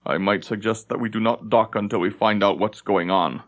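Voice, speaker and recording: A man's voice: lowish voice, normal speed, natural voice. A man voicing a cautious, dutiful elven lieutenant with restrained, formal speech in a fantasy audiobook. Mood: wary. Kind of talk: audiobook character acting in a fantasy story.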